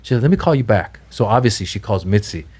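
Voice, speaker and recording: A man's voice: quick pace, breathy edge. Middle-aged guy from iran telling stories on a podcast, voice jumps way up when he's fired up. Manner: animated storyteller, pitch jumps high when excited. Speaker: guest comedian. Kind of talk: casual podcast storytelling.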